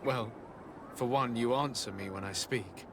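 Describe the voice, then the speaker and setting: An actor playing a polite, measured investigating envoy in a fantasy video game, formal and composed. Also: male voice, mid-range voice, background noise, natural voice. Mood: polite, inquiring.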